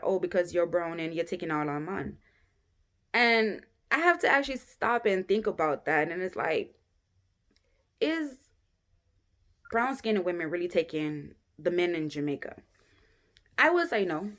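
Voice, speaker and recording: A young woman talking candidly to camera in a casual vlog, with frequent "um" pauses and a pace that keeps shifting between quick and drawn out. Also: lowish voice. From United States. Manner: candid and chatty, many "um" pauses, speeds up and slows down. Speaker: vlogger.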